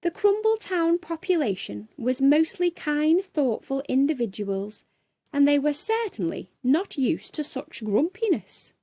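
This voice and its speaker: Slow, expressive woman storyteller. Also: high voice, no room sound. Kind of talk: expressive scripted children's bedtime story narration.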